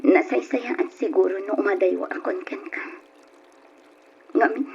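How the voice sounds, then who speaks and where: An Ilocano woman acting a self-sacrificing young woman torn by forbidden love in a radio drama, pleading in a breathy, emotional way. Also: mid-range voice, normal speed, darkish tone, lightly acted. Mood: tearful, earnest. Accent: Filipino, Ilocano. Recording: muffled, noisy old radio drama recording.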